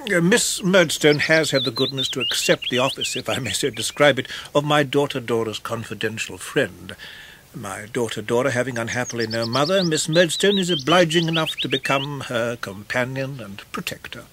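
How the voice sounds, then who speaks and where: A man's voice: mid-range voice, expressive, normal speed, noisy background, lightly acted. A man playing a genteel, pompous older father in a radio drama, formal and ponderous, with small hesitations. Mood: self-important. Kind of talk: 1990s radio drama acting.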